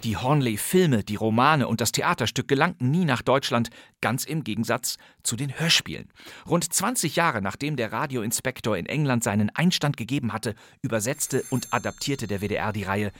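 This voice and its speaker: A male podcast host with a medium-pitched voice in a very dry studio. He narrates a scripted introduction in a conversational, playful way and speaks straight to the listener. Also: lively intonation, normal speed, fairly bright tone, no echo. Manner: playful, directly addressing listeners.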